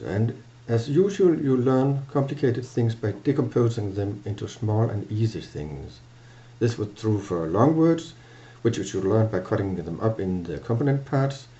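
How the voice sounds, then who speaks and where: An older man with a Danish accent explaining grammar in a halting, self-correcting way, filmed at a webcam in a small office. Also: lowish voice, dark tone, dull audio. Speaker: language teacher. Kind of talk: lecture to camera.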